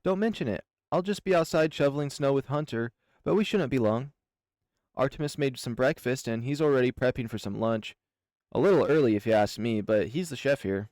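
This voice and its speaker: A man voicing a gentle, kind male furry creature who speaks softly and without hurry. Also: medium pitch, no room sound, clean studio sound, natural voice. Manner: soft, gentle, unhurried.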